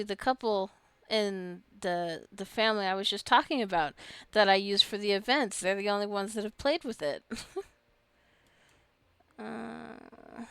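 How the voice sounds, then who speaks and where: Female gameplay commentator, chatty and informal, thinking out loud; slow delivery, dry close sound. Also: mid-range voice, gravelly, clean tone, no room sound. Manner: chatty, thinking aloud to herself and viewers. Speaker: gaming video host. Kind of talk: casual rambling gameplay commentary.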